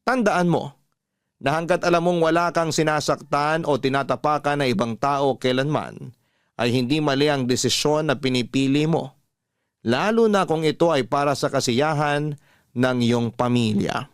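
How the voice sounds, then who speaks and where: A man hosting a radio love-story program, reading a listener's letter aloud in a slow, measured, heartfelt way. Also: on the high side, clear, resonant tone, no room sound, clean studio sound. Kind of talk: emotional radio storytelling narration.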